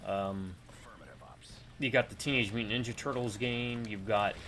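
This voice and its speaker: A man hosting a live gameplay stream, chatty and opinionated, with quick agreeing interjections. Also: lowish voice, fairly even intonation, background noise. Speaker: gaming channel host. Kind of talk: casual gameplay chat.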